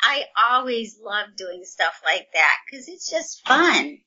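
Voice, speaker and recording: A woman's voice: mid-range voice, big pitch swings, slow pace, sharp tone, highs cut off. A woman telling a story in a chatty, enthusiastic way in a casual podcast conversation.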